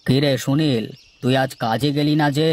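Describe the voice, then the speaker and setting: A man's voice: medium pitch, moderate pace, a little mellow, slight background noise, close to an everyday voice. A man playing a blunt but kindly older village boss in a cartoon, practical and admonishing. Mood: scolding. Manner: admonishing, practical, nagging rhetorical questions. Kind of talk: cartoon story dialogue.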